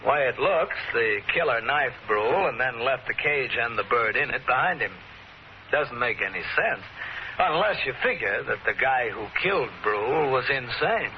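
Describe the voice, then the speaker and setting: Police lieutenant for 1940s-type radio mystery: male actor, shrewd, needling, casual on top, very expressive, on a dull noisy recording. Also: mid-range voice, normal speed, natural voice. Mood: falsely casual. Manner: offhand, probing, needling. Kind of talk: old-time radio mystery acting.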